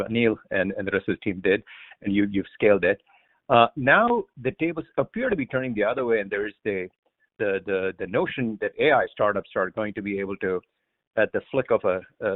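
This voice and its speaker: A man calling as a financial analyst, asking an unscripted question in a halting way, repeating words as he builds his point. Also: fairly low pitch, no room sound. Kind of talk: unscripted question on earnings call. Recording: narrowband phone line.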